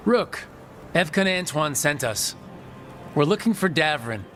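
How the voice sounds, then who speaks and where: Determined, wry game hero. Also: male voice, a little high, animated, a little slow, a bit bright, faint music behind. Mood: quick, bold. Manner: direct, casually dry. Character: determined, wry male adventurer leading a mission. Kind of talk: fantasy video game voice acting.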